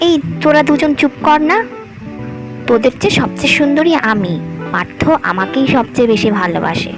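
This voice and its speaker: A woman's voice: sits high, big pitch swings, brisk. A woman playing a vain, demanding young mistress in a cartoon story, whining and boastful. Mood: jealous, boastful. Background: music.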